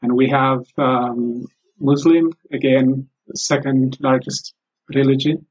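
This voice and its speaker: A man with an Ethiopian accent and a slightly husky voice, a native Amharic speaker. He talks carefully and haltingly, stopping often to find his words, as an interview guest. The recording sounds dull and lo-fi. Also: mid-range voice, steady tone. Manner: halting, careful, many pauses. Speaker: guest, former English teacher. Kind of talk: interview answers.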